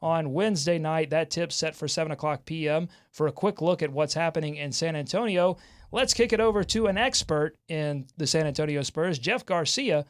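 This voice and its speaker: Higher-voiced man hosting a sports show. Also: moderate pace. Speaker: sports podcast host. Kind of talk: casual live sports talk show.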